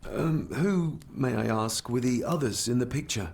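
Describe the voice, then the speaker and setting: An actor playing a curious, courteous but impatient young gentleman heir in a video game drama, formal and questioning. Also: mid-range voice, moderate pace, vocal fry, husky. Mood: polite, probing. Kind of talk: video game drama dialogue and inner monologue.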